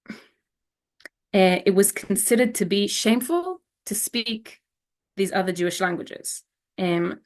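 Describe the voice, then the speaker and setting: A young woman from Israel giving a slide presentation, hesitant and full of fillers and restarts. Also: mid-range voice.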